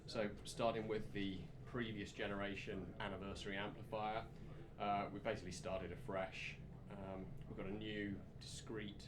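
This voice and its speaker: A man with a low-pitched voice explains a product in technical but informal terms, as a company representative would. He is measured and often restarts his phrases. Loud room noise sits behind him at an indoor demo. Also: flat delivery. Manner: measured explaining with frequent self-corrections. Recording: noisy show room ambience. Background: loud room noise and ambience.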